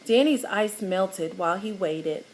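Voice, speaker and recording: A woman reading a children's picture book aloud, animated and teacherly, pausing to explain the pictures. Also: mid-range voice, poor audio.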